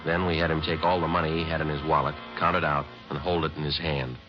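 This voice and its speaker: An actor playing a terse, businesslike police sergeant who narrates his case in a flat, clipped, matter-of-fact way in an old radio crime drama. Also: rumbling low, quick pace. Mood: stern. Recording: dull old radio recording, music behind.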